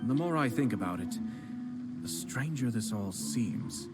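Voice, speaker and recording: A man voicing a composed young male noble clan head who speaks in a measured, formal and polite way in a game. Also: mid-range voice, expressive, natural voice. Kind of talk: video game voice acting. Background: game music.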